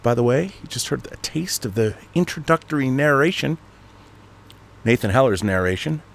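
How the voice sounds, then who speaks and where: A man's voice: medium pitch, a bit bright. An American man hosting an online show in a casual, rambling monologue to his viewers, with a grandiose, playful showman's manner.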